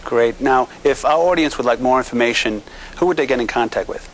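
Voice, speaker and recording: A middle-aged man hosting a TV studio interview, asking halting questions and echoing his guest's words. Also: medium pitch. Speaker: TV host.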